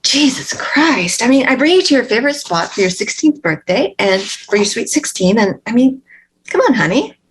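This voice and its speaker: A woman's voice: a little high, sing-song, normal speed, slight background noise, low-quality recording. A woman playing a brash, doting, party-loving mother who coaxes her child, in a script table read. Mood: giddy, impatient.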